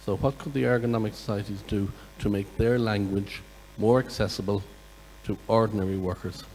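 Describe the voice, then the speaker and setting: A man from Ireland asking a question from the floor at a conference, in measured, deliberate phrasing. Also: fairly low pitch, fairly even intonation, a little mellow, in a large hall, slight background noise, poor audio. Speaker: audience questioner.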